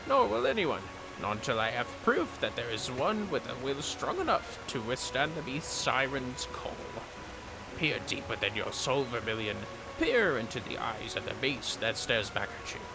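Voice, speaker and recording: A man's voice: medium pitch, very animated, normal speed, over music, low-quality recording. A man voicing a grave, anguished warrior mentor from a game, with solemn, theatrical, archaic oratory. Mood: solemn challenge. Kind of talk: dramatic reading of game dialogue.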